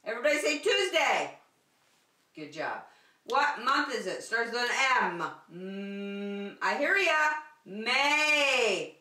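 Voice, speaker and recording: Slow-paced woman teaching young children. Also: on the high side. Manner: animated, encouraging, simple short phrases with repetition. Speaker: children's circle-time teacher. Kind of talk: preschool lesson addressed to young children. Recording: roomy echo, distant microphone.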